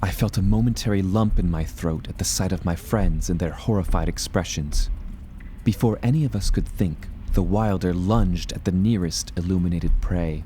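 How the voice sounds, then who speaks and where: A gloomy, lovelorn adventurer, played by a man, narrates his perils in a deep, mellow voice. His delivery is formal, grave and measured, as in an audio drama, with faint ambience behind. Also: slight background noise, lightly acted. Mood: tense, grave. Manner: grave, ornate, measured storytelling.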